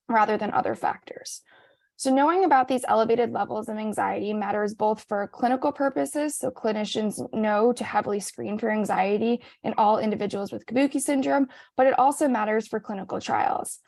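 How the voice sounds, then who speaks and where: A woman researcher giving a measured presentation at a virtual conference. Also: fairly high pitch, vocal fry, a little mellow, no echo. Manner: measured, reads prepared points.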